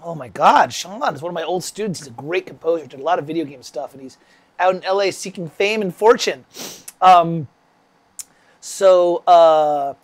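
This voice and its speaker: A man's voice: on the high side, normal speed. A man with a stuffy summer cold, teaching music composition on a casual livestream, rambling and chatting with viewers by name.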